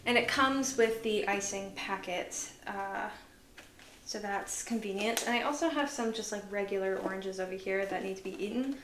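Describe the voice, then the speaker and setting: A woman vlogger chatting casually through a grocery haul, relaxed and full of fillers, sounding tired. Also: mid-range voice, moderate pace. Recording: echoey room with noise.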